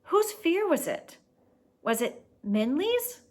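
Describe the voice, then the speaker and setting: A woman reads as a gentle storybook father, earnest and a little unsure of himself. He speaks hesitantly, with a worried, sheepish temper. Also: very high-pitched, very animated, slow, pitched above the performer's own voice, heavily acted. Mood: worried, pleading.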